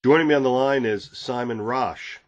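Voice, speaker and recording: A man's voice: medium pitch, normal speed, muffled recording. A man hosting a podcast, asking long conversational questions of a guest. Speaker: podcast host. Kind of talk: interview.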